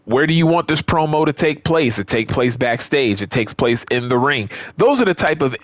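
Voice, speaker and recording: A man's voice: mid-range voice, expressive, moderate pace, dry recording. A man giving casual, off-the-cuff commentary on a video game, emphatic and repeating words for stress. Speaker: gaming video creator.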